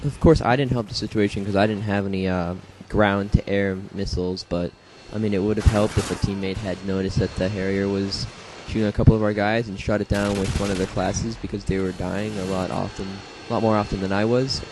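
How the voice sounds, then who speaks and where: A young man, slightly hoarse from a congested throat, rambling casually through his own match footage with many fillers and self-deprecating asides. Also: fairly low pitch, steady tone, brisk, few pauses, over music, low-quality recording. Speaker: gameplay commentator. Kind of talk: casual gameplay commentary.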